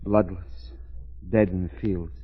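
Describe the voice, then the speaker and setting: An actor playing a fearful, brooding manservant in an ominous 1930s radio drama style. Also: male voice, low-pitched, steady tone, takes it slowly, soft background music. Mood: grim, brooding. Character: fearful, superstitious male servant Alexei, brooding. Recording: tinny 1930s radio recording.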